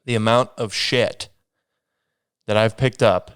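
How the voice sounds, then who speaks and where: A man's voice: lowish voice, steady tone, takes it slowly, clean tone, dry recording, clean studio sound. A young man hosting a solo podcast, rambling casually with a sniffly, stuffy nose. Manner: rambling, self-deprecating, meandering restarts. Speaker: podcast host.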